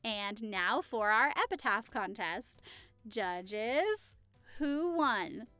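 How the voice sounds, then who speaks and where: Woman playing a bubbly high-voiced party hostess. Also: animated, very slow, pushed and bright, no echo, faint music behind, full character voice. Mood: excited, festive. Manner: bubbly, welcoming, playful. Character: cheerful, teasing woman hosting a Halloween party, a devoted friend. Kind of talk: audio roleplay acting.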